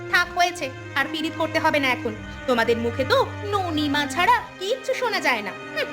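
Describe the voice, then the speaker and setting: A woman playing a sulky, sharp-tongued, shrewd village wife in a cartoon, talking in a scolding, questioning, colloquial way. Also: very high-pitched, big pitch swings, quick pace. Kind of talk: cartoon dialogue. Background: music.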